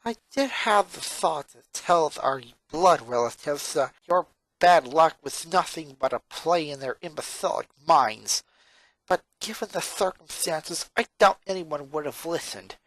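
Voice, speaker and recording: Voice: medium pitch, a little slow, low-quality recording. Someone voicing a dry, pedantic little girl genius. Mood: irritated. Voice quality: monotone. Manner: pedantic, wordy, dryly sarcastic.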